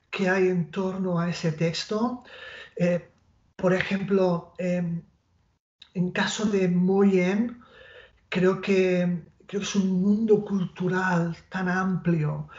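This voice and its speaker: A man in his forties with a Spanish accent, a literary translator answering interview questions over a video call, pausing and restarting often and adding tag questions. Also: high voice, darkish tone. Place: small room at home with bookshelf. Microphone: earphone mic on video call. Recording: roomy, dull lo-fi call audio.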